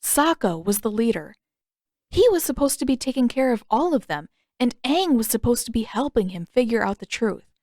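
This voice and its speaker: A woman's voice: fairly high pitch, sing-song, normal speed, a little mellow, no room sound, clean studio sound. A woman reading a story aloud as an audiobook narrator in scripted narration.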